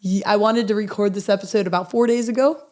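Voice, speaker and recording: A woman with a raspy voice, hoarse from a recent illness, hosts a motivational podcast. She speaks earnestly at a middle pitch. Her affirmations come out quiet and unhurried. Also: steady tone. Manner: earnest, reading affirmations softly and slowly. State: hoarse from recovering after illness. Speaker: podcast host.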